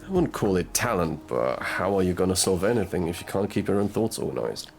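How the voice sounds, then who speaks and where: A man playing a gruff, sardonic detective in an audio roleplay drama, drawling and murmuring through his pauses. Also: deep voice, very animated, heavily acted. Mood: probing. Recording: music behind the voice.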